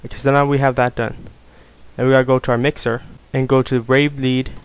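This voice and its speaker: A man giving a casual, unscripted software tutorial, explaining things informally step by step. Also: medium pitch, flat delivery, brisk, clear, resonant tone, poor audio. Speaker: tutorial presenter.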